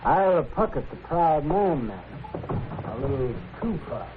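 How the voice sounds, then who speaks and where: An American man playing a folksy, frank, thoughtful frontier doctor in a 1950s radio western, with a gravelly voice and halting delivery. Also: on the high side, big pitch swings. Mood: grave, concerned. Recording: tinny, faintly noisy old radio recording.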